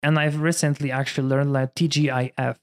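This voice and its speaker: A man in his thirties from Poland, a priest speaking English with a Polish accent in a relaxed conversation, full of "you know" fillers. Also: medium pitch, fairly quick, smooth. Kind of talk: casual conversation.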